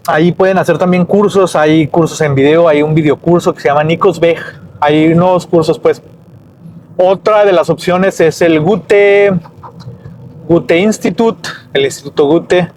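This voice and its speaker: A man talks through a lesson while walking home outdoors. His voice sits a bit high, and he wanders, backtracks and corrects himself in a loose, chatty way. Faint outdoor ambience hums underneath. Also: a little hiss or hum. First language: Spanish. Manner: rambling, self-correcting, chatty. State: dry-mouthed. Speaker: German teacher and vlogger.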